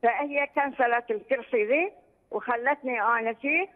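A woman's voice: fairly high pitch, normal speed. A woman calling in by phone, telling a long rambling personal story with some anxiety. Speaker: caller. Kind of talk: phone-in question to a religious scholar. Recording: tinny phone line with long reverb.

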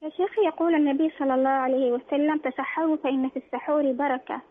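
Woman caller, high voice, talks fast, polite and halting, tinny phone sound. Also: fairly even intonation. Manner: respectful, halting. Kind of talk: phone-in question. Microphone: telephone.

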